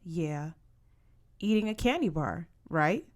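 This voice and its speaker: A woman's voice: fairly low pitch, animated, takes it slowly, darkish tone. Young girl for a storybook, acted by an adult woman: sulky, defiant, a bit whiny. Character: stubborn, sulky young girl, resentful and pleading.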